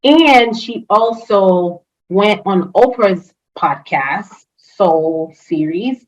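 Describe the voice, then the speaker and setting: A woman's voice: mid-range voice, expressive, extremely slow, highs cut off. A woman whose first language is French, chatting as a guest in an interview, enthusiastic, with many fillers and restarts. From Haitian family, grew up speaking French and Creole. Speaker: guest, HR consultant. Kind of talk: casual interview chat.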